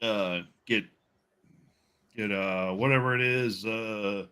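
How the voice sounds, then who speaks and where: Male livestream host, very sharp bright tone, rambling jokey chat, halting delivery. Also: lowish voice, steady tone, clear, resonant tone. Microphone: video call stream.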